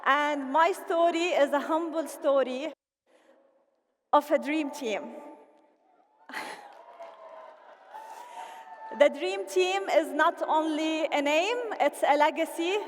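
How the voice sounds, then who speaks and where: A woman with a Lebanese accent giving an animated, playful motivational speech at a convention and rallying the crowd. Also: high-pitched, slow, long pauses. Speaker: convention speaker. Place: large convention hall. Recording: reverberant hall, faint ambience.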